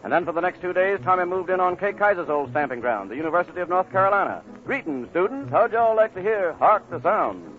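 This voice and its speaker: A high-pitched American man works as a polished big band radio announcer, talking quickly and formally with a showman's flourish. The sound is a thin, tinny vintage broadcast with loud music behind him. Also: lively intonation. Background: loud big band dance music.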